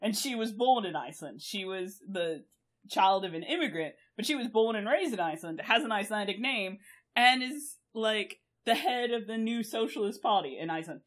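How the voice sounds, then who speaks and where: A woman's voice: mid-range voice, expressive, moderate pace. A young woman co-hosts a podcast in loose, rambling chat. She laughs often and swears freely as she talks. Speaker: podcast co-host.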